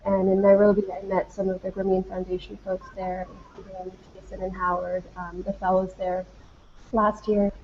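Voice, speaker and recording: A woman's voice: mid-range voice, completely flat delivery, normal speed. A woman from China introducing herself as a fellow on a video call, fluent and businesslike. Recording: lo-fi call audio with faint background hum.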